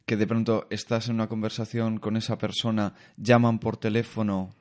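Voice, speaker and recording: A man from Spain in a relaxed discussion, colloquial and agreeable. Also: lowish voice, moderate pace. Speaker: podcast panelist. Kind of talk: casual discussion.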